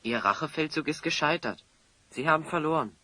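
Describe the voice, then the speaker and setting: An actor playing an upright, stern but merciful hero in a German audio drama, speaking in a measured, formal, sardonic and moralizing way. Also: male voice, a little high, expressive, pushed and bright, dry recording. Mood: solemn, forgiving.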